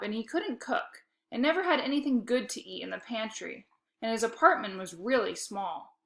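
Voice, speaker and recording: Animated woman reading a storybook aloud, bright voice. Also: a little high. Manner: animated, emphatic dialogue. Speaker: read-aloud narrator.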